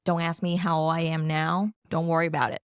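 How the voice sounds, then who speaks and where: Woman from Vietnam, Vietnamese speaker, smooth clear voice, dry close mic. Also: low voice, flat delivery, brisk, no room sound. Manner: easygoing, laughing, lots of fillers. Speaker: podcast guest. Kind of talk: casual podcast chat.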